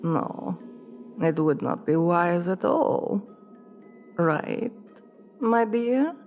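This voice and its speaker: A woman playing an imperious, seductive vampire queen in an ASMR roleplay, commanding and teasing with condescending, deliberate delivery. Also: mid-range voice, darkish tone. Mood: coolly commanding. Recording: long reverb with music behind.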